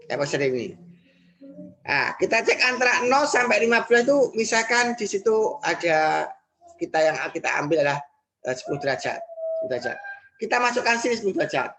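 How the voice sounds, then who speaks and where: A male math teacher explains exam problems one step at a time. He poses his own questions and answers them right away. He goes back over each step, and every so often his voice sinks into a soft aside to himself. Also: high-pitched, expressive, moderate pace, long pauses, a little hiss or hum. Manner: thinks aloud, repeats steps, quiet muttered asides. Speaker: high school math teacher.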